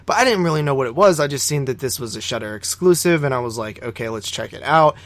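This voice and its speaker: A man co-hosting a podcast, chatting casually about films in a halting, rambling way that often doubles back. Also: mid-range voice, lively intonation. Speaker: podcast co-host. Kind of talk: casual film review chat.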